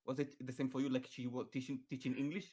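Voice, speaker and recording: A young man from Israel with an Israeli accent, Hebrew his first language, hosting a casual chat podcast and talking haltingly with many restarts. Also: mid-range voice, flat delivery, a crowd in the background. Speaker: podcast host.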